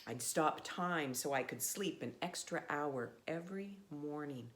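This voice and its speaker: A woman reading a children's storybook aloud in a measured, expressive way with pauses. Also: low-pitched.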